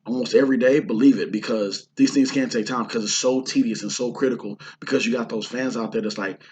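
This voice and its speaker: A hoarse man from the Houston area chatting casually about his indie film shoot, rambling with lots of fillers and restarts. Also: mid-range voice, steady tone, fast talker, a little mellow, a little hiss or hum. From Houston, Texas area. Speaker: indie filmmaker and actor. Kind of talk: casual vlog talk.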